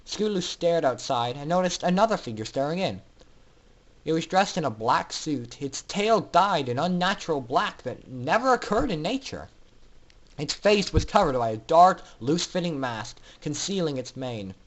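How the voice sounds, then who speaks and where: A man's voice: on the high side, big pitch swings, moderate pace, poor audio. Guy doing dramatic storytelling narration, theatrical, really up and down intonation. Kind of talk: dramatic scripted fan fiction narration.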